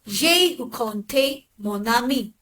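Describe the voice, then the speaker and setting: A woman modelling sentences for a language lesson, enunciating each phrase clearly and evenly. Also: fairly high pitch, lively intonation, takes it slowly, fairly bright tone. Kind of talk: slow model repetitions of sentences.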